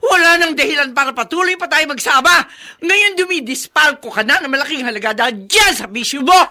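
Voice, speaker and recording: A woman in a radio drama playing an angry, accusing wife, shrill and scolding. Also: expressive, a little fast, pushed and bright. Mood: furious, accusing.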